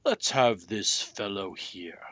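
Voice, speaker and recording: A man voicing a jolly old Santa Claus in a storybook, loud and hearty, drawing out his words. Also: fairly low pitch, no echo. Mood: jovial, hearty. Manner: hearty, loud, drawn-out proclaiming.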